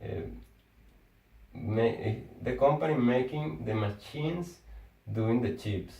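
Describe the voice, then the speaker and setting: A Venezuelan man, a Spanish speaker and engineer, in casual conversation, talking haltingly and often restarting words as he searches for his phrasing. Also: mid-range voice, warm tone. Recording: echoey room, far from the mic.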